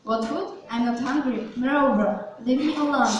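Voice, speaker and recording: A woman's voice: sits high, moderate pace, noisy background, lightly acted. A woman playing a stubborn, weary widowed male ruler in an amateur puppet show, protesting in exasperation. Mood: firm, weary. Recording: very echoey room, far from mic.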